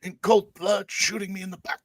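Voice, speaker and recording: A man's voice: high voice, little pitch movement, brisk, no echo, clean studio sound. A man playing a wounded, fanatical priest with melodramatic, accusing wails in a tabletop game. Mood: anguished outrage.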